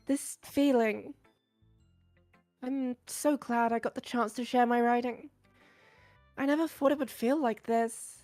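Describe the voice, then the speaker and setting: Casting: female actor as insecure, soft-spoken bookworm girl, visual novel game, slightly high pitch, halting earnest delivery. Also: steady tone, faint music behind, natural voice. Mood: touched, admiring. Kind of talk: visual novel character voice acting.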